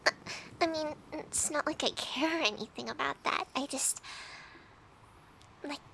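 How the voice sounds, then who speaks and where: Woman acting a high-pitched, teasing tsundere girlfriend. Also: unhurried, bright, forceful tone, a little hiss or hum, exaggerated voice, poor audio. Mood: bashful. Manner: playful, pouty, teasing, often dropping to breathy murmurs. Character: flustered, teasing young tsundere girlfriend, bratty but affectionate. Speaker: ASMR roleplay performer. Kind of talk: intimate ASMR girlfriend roleplay.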